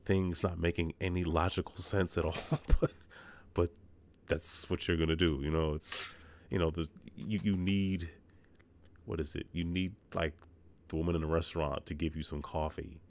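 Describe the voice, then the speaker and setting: Very deep-voiced man chatting about games. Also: little pitch movement, normal speed, vocal fry. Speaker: video game podcast host. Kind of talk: casual rambling game review chat.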